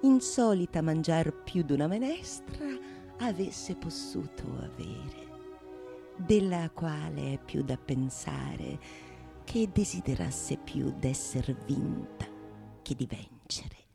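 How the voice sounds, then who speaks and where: A woman's voice: low voice, animated, slow, vocal fry, music in the background. A woman, a solo actress, delivering theatrical scripted narration.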